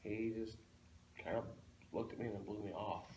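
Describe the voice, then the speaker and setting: A man's voice: lowish voice, steady tone, normal speed, darkish tone. A man chatting casually as a fellow comic book fan, recorded in a noisy, echoey room. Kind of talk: casual chat.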